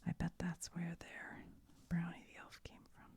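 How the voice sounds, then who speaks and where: A woman whispering softly as she crafts, rambling and thinking aloud to her viewers. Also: on the low side, completely flat delivery, moderate pace, creaky, breathy edge, dry recording. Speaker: ASMR video maker. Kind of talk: whispered ASMR craft chat.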